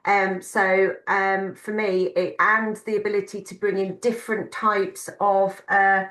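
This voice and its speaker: Woman, panel moderator, mid pitch, hesitant, lots of ums, echoey room. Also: little pitch movement, unhurried, fairly bright tone. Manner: hesitant, many ums while reading questions. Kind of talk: conversational Q&A.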